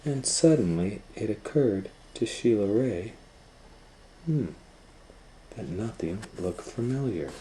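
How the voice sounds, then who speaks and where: A man's voice: fairly low pitch, animated, slow pace, long pauses, noisy background. A man reading a children's picture book aloud as a storytime host, in a dramatic, playful way.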